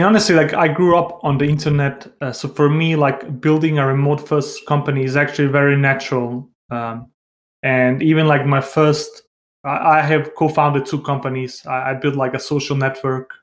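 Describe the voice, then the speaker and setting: Man in an echoey room, fairly even delivery. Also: medium pitch, moderate pace. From born in Bosnia, grew up in Denmark. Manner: informal, hesitant, frequent "like" and "you know". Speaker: interview guest, tech company founder. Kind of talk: casual podcast interview. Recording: roomy, echoing sound.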